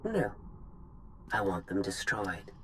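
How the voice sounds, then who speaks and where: A woman's voice: a bass voice, big pitch swings, takes it slowly, fairly bright tone, a little hiss or hum, full character voice. A woman playing a cold, aloof rogue machine intelligence in a video game, speaking with cool, imperious deliberation. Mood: cold resolve. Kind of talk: video game cutscene acting.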